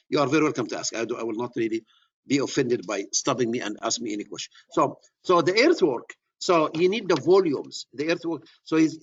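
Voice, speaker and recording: A man's voice: fairly high pitch, highs cut off. A middle-aged man with an Egyptian accent teaching a surveying class, repeating key words and restarting phrases as he explains. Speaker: surveying instructor. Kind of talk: classroom lecture.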